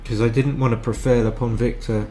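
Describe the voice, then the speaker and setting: A man's voice: medium pitch, steady tone, fairly quick, warm tone, slight background noise. A man giving casual live commentary on a match as a streamer, chatty and thinking aloud with frequent restarts.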